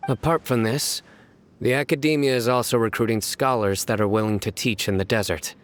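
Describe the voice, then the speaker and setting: A man playing a reserved, dutiful official in a video game, terse, formal and deadpan. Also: mid-range voice, brisk, lightly acted. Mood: businesslike. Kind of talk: video game dialogue acting.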